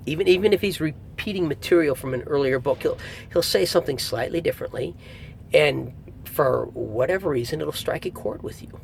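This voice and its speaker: A middle-aged man giving a casual spoken review in a conversational, emphatic way, pausing for effect. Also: creaky. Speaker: audiobook reviewer. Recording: faint low rumble, like vehicle noise.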